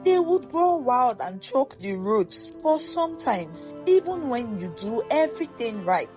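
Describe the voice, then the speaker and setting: A woman's voice: a little high, sing-song, a little slow, darkish tone, soft background music, voice pushed up. A woman voicing an elderly Nigerian grandmother in a folktale, firm, wise and given to exclamations. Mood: calm, firm. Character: elderly Nigerian grandmother, calm, firm, proverb-speaking, easily shocked.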